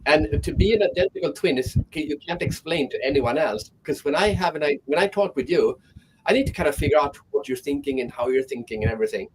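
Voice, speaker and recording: A man's voice: on the high side, animated, fast, background noise. A man speaking as a guest on an online panel, talking thoughtfully and often restarting his sentences.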